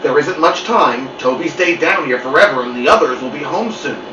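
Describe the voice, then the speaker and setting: A man voicing a bossy, scheming house cat in a children's story, crisp, insistent and exasperated. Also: a little high, moderate pace, background noise, natural voice. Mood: urgent, bossy. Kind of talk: animated children's story reading. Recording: muffled, roomy, distant mic.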